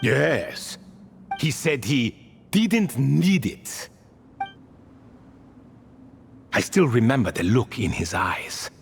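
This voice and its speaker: A man's voice: mid-range voice, sing-song, slow pace, creaky, long reverb tail, a little hiss or hum, heavily acted. A man voicing an ancient, talkative cat spirit king in a video game, genteel, whimsical and wry, with a theatrical, courtly storytelling manner. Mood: grave reminiscing.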